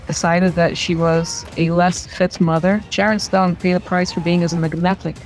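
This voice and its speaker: A woman giving reflective film essay commentary in halting, measured phrases. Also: lowish voice, level tone. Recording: music under the voice. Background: music.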